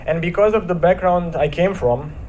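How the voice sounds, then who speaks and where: A man with a Romanian accent speaks as a guest at a church service, his voice sitting a little high. He tells stories earnestly, slowing to lean on key phrases. A faint background hiss runs under his voice. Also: a little mellow. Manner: earnest, measured storytelling with slowed emphatic phrases. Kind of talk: inspirational talk with storytelling.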